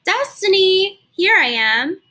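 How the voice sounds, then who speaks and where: A woman voicing a kind, heartfelt woman who owns a bookstore, in a tender, expressive storybook delivery. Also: very high-pitched, slow, voice pushed up, full character voice. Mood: calling warmly.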